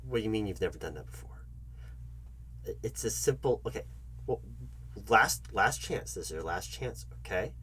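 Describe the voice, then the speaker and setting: A man playing a foolish, patronizing job interviewer in an ASMR comedy roleplay, speaking to the listener in a halting, repetitive, mock-earnest way. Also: on the low side, lively intonation, fairly bright tone, a little hiss or hum. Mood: condescending, testing. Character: foolish, condescending male job interviewer toying with the candidate.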